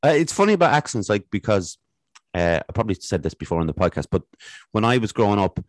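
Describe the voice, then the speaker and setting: Low irish guy hosting a chat podcast, long rambly questions, close dry mic. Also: very animated, fast talker, dry recording. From Ireland. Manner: rambling, many fillers and restarts. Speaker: podcast host. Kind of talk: casual podcast interview. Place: home room. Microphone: studio mic with headphones.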